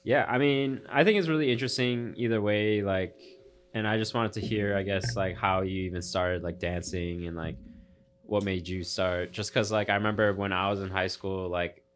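Deep-voiced man hosting casual chat. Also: steady tone, moderate pace. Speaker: podcast host.

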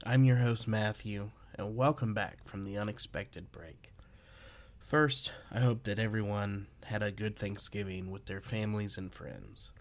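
A man's voice: lowish voice, fairly even intonation, a little slow. A man, a little under the weather, hosting a podcast and reading ghost stories from a script. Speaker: podcast host.

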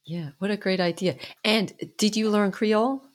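A woman's voice: medium pitch, steady tone, moderate pace. A woman hosting an interview, asking questions in a conversational, affirming way. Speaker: interviewer host.

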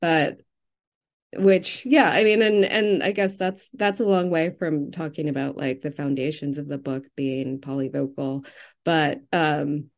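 A woman speaking as an interviewed novelist, reflecting casually and circling haltingly around her thoughts. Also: deep voice, fairly even intonation.

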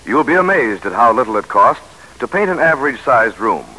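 A man's voice: medium pitch, big pitch swings, fairly quick, bright, forceful tone, low-quality recording. A man delivering a polished 1940s radio advertisement as the sponsor's spokesman. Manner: polished, salesmanlike.

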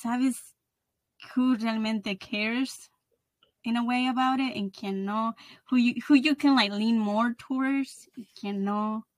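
A woman's voice: mid-range voice, dry recording. A woman in her thirties hosting a casual podcast conversation, switching between Spanish and English mid-sentence. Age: 30s. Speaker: podcast host.

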